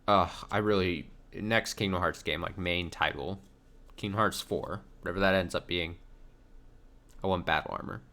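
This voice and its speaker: A man's voice: deep voice, steady tone, moderate pace, bright, forceful tone. A man doing casual, slangy, profane reaction commentary as a game streamer, playful as he goes. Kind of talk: casual reaction commentary on game cutscenes, reading dialogue aloud. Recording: music behind the voice. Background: video game music.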